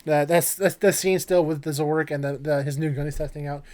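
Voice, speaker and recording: A man's voice: fairly high pitch, fairly even intonation, fairly quick, darkish tone. A man co-hosting a casual chat about films and games, opinionated and swearing freely. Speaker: podcast co-host.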